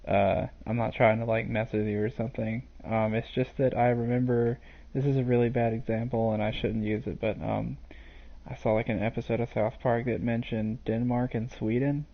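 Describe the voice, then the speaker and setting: A man's voice: medium pitch, little pitch movement, normal speed, lo-fi sound. A man streaming a video game, chatting to viewers in a rambling, hesitant way full of fillers. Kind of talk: live gameplay commentary.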